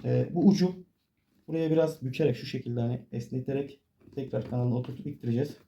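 A man's voice: mid-range voice, steady tone, quick pace, gravelly, warm tone, slight background noise. A man giving a casual hands-on tutorial, explaining informally step by step as he works. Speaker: DIY tutorial presenter.

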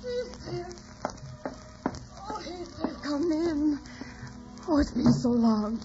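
An actress playing an anguished, passionate young wife in love, with melodramatic old-time radio drama acting. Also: female voice, high-pitched, big pitch swings, slow pace, over music, heavily acted. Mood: yearning. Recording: muffled, hissy old radio broadcast.

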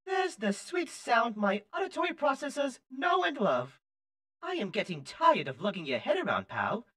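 A man's voice: high-pitched, fairly bright tone, voice pushed up, full character voice. A man voicing a chatty little robot henchman with a wisecracking, grumbling delivery.

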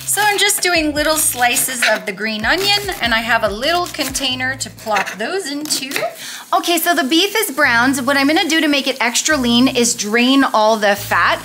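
Woman, chatty cooking vlog, home kitchen, crisp bright voice, slightly high. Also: lively intonation, normal speed, a little hiss or hum. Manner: chatty, upbeat, cutesy word play. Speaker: vlogger. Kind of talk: casual cooking meal-prep walkthrough.

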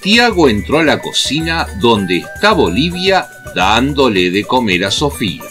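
A man's voice: medium pitch, very animated, unhurried. A man narrating a radio comedy from a script. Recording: loud music bed.

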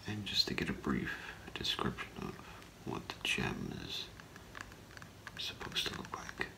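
Slow, whispering middle-aged man, ASMR role play. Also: very deep voice, huge pitch swings, gravelly, rough recording. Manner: hesitant, halting, softly reassuring. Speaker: ASMR performer role-playing a gemologist. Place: small indoor room with curtain.

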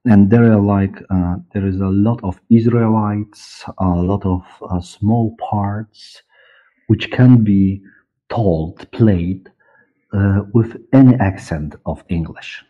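A man in his forties with a Polish accent, speaking haltingly as an interview guest and searching for words. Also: lowish voice, steady tone. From Poland. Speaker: interview guest, audiobook director and producer. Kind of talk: conversational interview. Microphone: video call. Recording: muffled, dull video call audio.

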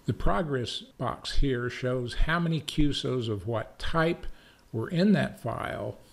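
Slow-paced American man giving a tutorial. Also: medium pitch, creaky. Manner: measured, methodical step-by-step explaining. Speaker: tutorial presenter, amateur radio operator. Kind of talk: screencast software tutorial narration.

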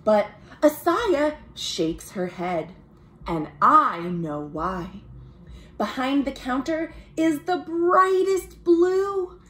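A woman, reading a children's picture book aloud in an expressive, deliberate way as a storytime host. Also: sits high, a little hiss or hum, low-quality recording. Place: small room at home, indoors.

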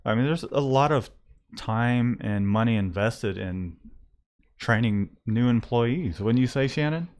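A man's voice: medium pitch, a little slow, darkish tone. A man in his thirties, a print shop owner, talking casually about his business into a close studio mic, rambling and restarting his thoughts. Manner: rambling, restarts often, thinks aloud. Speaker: screen printing shop owner and podcast host. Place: small print shop room. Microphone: studio condenser mic close up, headphones.

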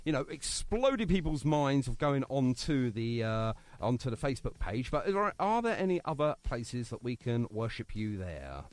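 A man's voice: mid-range voice, very animated, normal speed, few pauses, no room sound. A man hosting a casual radio interview, chatty and jokey. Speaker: radio host. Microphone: phone line to guest.

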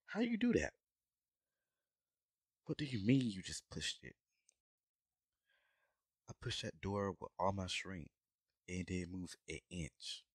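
A man voicing a gruff, protective dragon adventurer in an audio roleplay, talking urgently and with exasperation to his companion. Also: deep voice, no echo, studio quality, exaggerated voice. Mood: frustrated, puzzled. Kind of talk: scripted ASMR audio roleplay acting.

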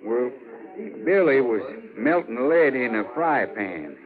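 Folksy American frontier skinner, old radio. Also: male voice, medium pitch, expressive, takes it slowly, a crowd in the background. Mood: uneasy, confiding. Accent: rustic American. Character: plain-spoken, gritty frontier buffalo skinner. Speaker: actor in radio western. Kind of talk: 1950s radio western acting. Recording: narrow-band 1950s radio, background noise.

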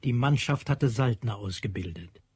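Husky male narrator, dry sound. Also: medium pitch, animated, normal speed, darkish tone, no room sound. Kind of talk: literary narration in radio play.

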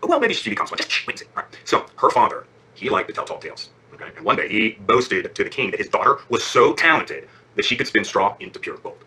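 An affectionate father spinning a bedtime fairy tale. He races along informally with swooping, lively pitch and a husky edge, pausing to check that his listener follows. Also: heavily acted. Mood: animated storytelling. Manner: conversational, peppered with check-in questions. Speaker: storyteller. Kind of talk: animated, informal bedtime storytelling.

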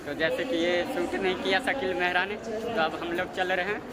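A man's voice: high-pitched, normal speed, loud music behind the voice. A young man, a native Maithili speaker, chatting casually to his phone as he walks, narrating his vlog in an informal, repetitive way. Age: young adult. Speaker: vlogger. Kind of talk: casual vlog chat to camera. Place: outdoors by roads and inside a large busy mall. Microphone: phone at arm's length. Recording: loud street and mall ambience, handheld. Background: loud urban ambience and vehicles.

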